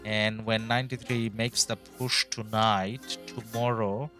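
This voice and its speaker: A man's voice: on the low side, measured pace. A man hosting a live game stream, chatting casually, hedging his views and answering his viewers. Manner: relaxed, hedging, reads chat aloud. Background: background music.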